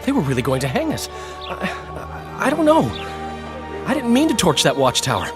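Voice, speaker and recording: An actor playing an excitable, nervous bard in a video game, with agitated, eager delivery. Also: male voice, sits high, normal speed, music in the background. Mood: shaken. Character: excitable, dramatic male bard, nervous and chatty.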